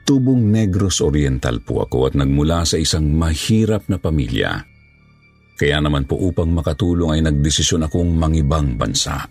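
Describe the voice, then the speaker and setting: A man with a gravelly, husky voice reading a scripted first-person horror story as its narrator. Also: extremely low pitch, a little mellow.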